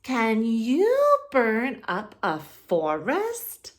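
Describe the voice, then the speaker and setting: A woman's voice: on the high side, huge pitch swings, extremely slow, voice pushed up, heavily acted. A woman voicing a bold, cunning young princess from a storybook, speaking in an emphatic, challenging way. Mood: daring.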